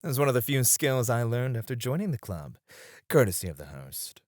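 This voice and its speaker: A man playing a suave, flirtatious male escort in an ASMR roleplay, teasing and courteous, with drawn-out phrasing. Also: mid-range voice, big pitch swings, darkish tone, no echo, clean studio sound, natural voice. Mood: teasing, boastful. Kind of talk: ASMR roleplay, addressed to one listener.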